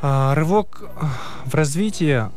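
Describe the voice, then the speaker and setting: A man from Kyrgyzstan, speaking as an athlete guest in a casual interview, explaining things haltingly with many hesitations. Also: a little high, a little mellow, faint music behind. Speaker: athlete guest, player of a traditional horseback sport.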